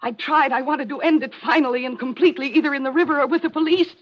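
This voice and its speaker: A woman's voice: sits high, fairly even intonation, fast, close to an everyday voice. A woman acting in a 1940s radio mystery as a haunted, fatalistic young American woman, intense and melodramatic. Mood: haunted, despairing. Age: young adult. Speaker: radio actor. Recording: muffled old radio recording.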